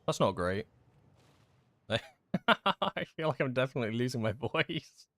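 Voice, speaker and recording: A young man giving casual livestream commentary while gaming, chatty and self-deprecating with excitable outbursts, his voice going hoarse. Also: mid-range voice, a little slow, dry recording, clean studio sound. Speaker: gaming streamer.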